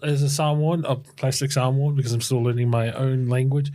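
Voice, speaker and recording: A man's voice: mid-range voice, little pitch movement, brisk, warm tone. A man hosting a community podcast in an upbeat, enthusiastic, playful way. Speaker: podcast host.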